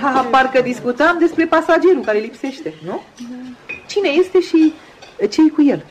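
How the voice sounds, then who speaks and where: A woman's voice: sits high, lively intonation, a crowd in the background, rough recording. An actress playing a chatty, nosy, bored society lady in a radio drama, with a theatrical, insistent manner. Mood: avidly curious. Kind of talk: Romanian radio theatre acting.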